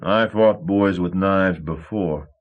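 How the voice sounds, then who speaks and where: A man voicing a brutish riverboat thug who taunts slowly and menacingly. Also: sits low, muffled recording. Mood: taunting. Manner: slow, taunting.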